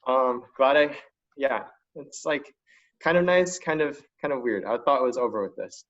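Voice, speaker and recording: Young man, student panelist, informal and enthusiastic, mid-pitched voice, lo-fi online call sound. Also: normal speed, a bit bright. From China. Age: young adult. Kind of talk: casual spoken testimonial. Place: online video conference call. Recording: dull lo-fi online call audio.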